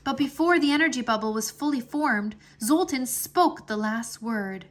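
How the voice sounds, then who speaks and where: A woman reading a children's fantasy chapter book aloud as an expressive storyteller. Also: fairly high pitch, normal speed. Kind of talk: expressive scripted narration.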